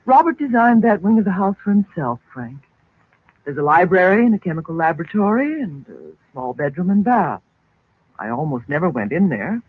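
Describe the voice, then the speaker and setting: A woman's voice: lowish voice, slightly rough, no echo. An actress playing an anxious, chatty middle-aged mother in an old-time radio drama, with a fretful, genteel delivery. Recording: tinny vintage radio recording.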